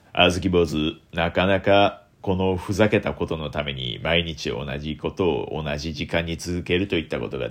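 A young man hosting a solo radio talk in a deliberately put-on deep, velvety voice, playful and self-mocking.

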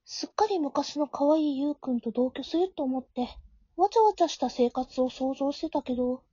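A high-pitched, mellow high school girl, acted by a woman in an amateur audio drama. She is caring and easily flustered, moving between inner narration and dialogue. The sound is very dry and slightly dull, like a home recording. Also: expressive, moderate pace, dry recording.

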